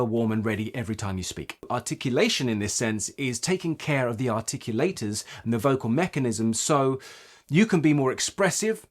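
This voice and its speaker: A man presenting an instructional tutorial as a voice coach, explaining in an expressive, emphatic way. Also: medium pitch.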